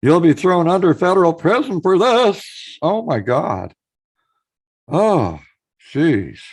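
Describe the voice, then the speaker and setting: A hoarse man chats on his live stream. His gravelly voice rises and falls a lot, and he greets his viewers by name as he reads out their messages. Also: on the high side, very animated, takes it slowly.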